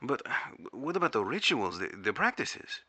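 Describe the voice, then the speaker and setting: Male intelligence interviewer played by an actor for audio drama: formal and probing, bright forceful edge, dry close sound. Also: medium pitch, very animated, normal speed, slightly rough, no echo, heavily acted. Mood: intrigued. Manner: formal, inquisitive.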